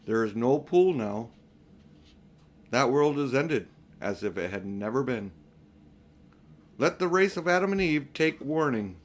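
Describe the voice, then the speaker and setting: A man's voice: medium pitch, lively intonation, unhurried, pauses often, natural voice. Solemn, commanding lion voiced by a man. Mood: solemn, warning. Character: solemn, commanding male lion, wise and grave. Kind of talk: audiobook character reading.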